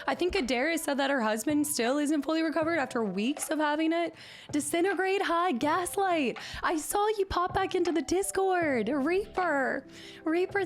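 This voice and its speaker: A chatty woman streaming from her apartment and greeting her chat, slightly hoarse as she recovers from laryngitis. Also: high voice. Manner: chatty and upbeat, rattles off viewer names. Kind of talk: casual live-stream chat. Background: faint background music.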